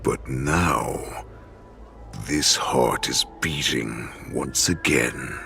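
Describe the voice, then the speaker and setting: A man voicing a monstrous, ancient wolf-beast warlord in a video game, savage and gloating, with a gravelly, theatrical, menacing delivery. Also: very deep voice, drawn-out words, bright, forceful tone, exaggerated voice. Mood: exultant. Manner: theatrical, menacing, savoring each word. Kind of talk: dramatic video game voice acting.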